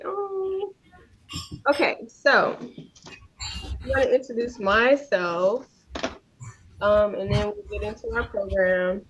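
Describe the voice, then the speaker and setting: A woman's voice: mid-range voice, slight background noise. A woman from Mississippi, the school's owner hosting a live online open house in a chatty, upbeat way with lots of "um" and "like.". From Jackson, Mississippi, USA. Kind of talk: casual live presentation. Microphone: video call. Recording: slightly dull lo-fi call audio.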